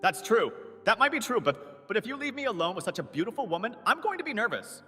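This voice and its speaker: A man playing a nervous, earnest, lovesick twenty-four-year-old in an animated comic dub, narrating his own self-doubting thoughts. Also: high voice, fast, long reverb tail, heavily acted. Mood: flustered. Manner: earnest, self-doubting inner monologue with startled outbursts. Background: background music.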